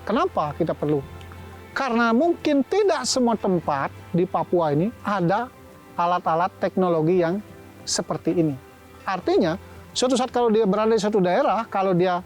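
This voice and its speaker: A police officer in his fifties from Bali, telling his story in a slow, measured interview with pauses between phrases. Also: high-pitched, darkish tone. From Bali, Indonesia. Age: 50s. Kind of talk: interview testimony.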